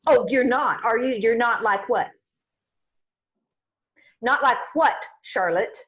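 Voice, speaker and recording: A woman playing a sharp, scolding mother in a confrontational screenplay table read. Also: fairly high pitch, lo-fi sound. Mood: angry, challenging. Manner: sharp, confrontational, drawn-out emphasis. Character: fierce, scolding Southern mother confronting her runaway daughter.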